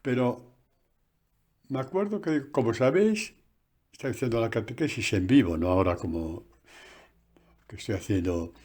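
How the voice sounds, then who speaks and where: A man, a priest, giving a conversational catechesis sermon recorded on a phone, animated and full of asides. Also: medium pitch, normal speed, long pauses.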